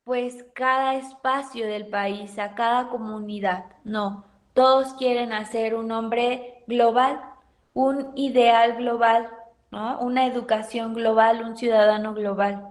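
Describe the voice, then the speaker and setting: A Mexican woman teaching a university class in a slow, measured way, often stopping to put questions to the students. Her voice sits a little high, and her pace stays unhurried. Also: steady tone. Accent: Mexican Spanish. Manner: questioning the class, thoughtful pauses. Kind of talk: university pedagogy lecture.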